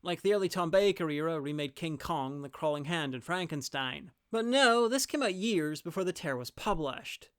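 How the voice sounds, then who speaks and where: A man's voice: fairly high pitch, a bit bright, clear, resonant tone, no room sound. A man delivering a scripted review as a video host, with wry, dry asides.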